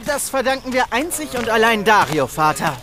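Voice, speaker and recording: Earnest, lively young prince. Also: male voice, very high voice, normal speed. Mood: earnest, urgent. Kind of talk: children's audio drama acting.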